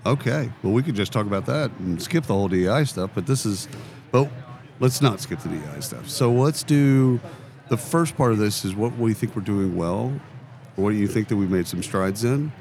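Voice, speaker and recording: A man's voice: on the low side, lively intonation, normal speed, a little mellow. A man hosting a live podcast interview at an event booth, casual and friendly, affirming his guests often. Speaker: podcast host.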